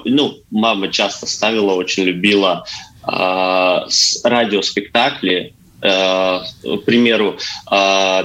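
A young man casually reminiscing as an interview guest, halting and repeating words for emphasis. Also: lowish voice, pushed and bright, low-quality recording. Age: young adult. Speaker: guest, actor and stage designer.

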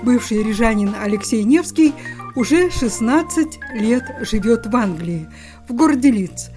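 A woman hosting a radio program, asking direct questions in a brisk manner. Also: fairly high pitch, expressive, warm tone, soft background music. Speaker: radio host. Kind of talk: radio interview.

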